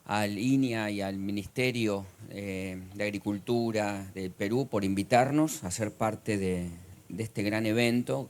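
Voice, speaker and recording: A middle-aged man with an Argentine accent, giving a formal conference talk, measured and filled with pauses and hesitations. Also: fairly low pitch, darkish tone. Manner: measured, with frequent "eh" and "digamos" fillers. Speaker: conference speaker.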